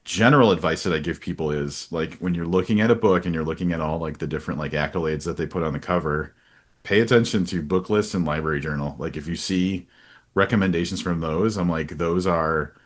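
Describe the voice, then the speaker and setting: A man's voice: low voice, a little fast. A man hosting a casual book-talk interview, speaking in an earnest, rambling and emphatic way. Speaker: podcast host interviewing a publisher.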